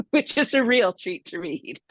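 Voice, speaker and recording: A woman's voice: medium pitch, big pitch swings, takes it slowly, no room sound. A woman hosting a casual interview, affirming her guest and often restarting phrases. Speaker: interviewer host.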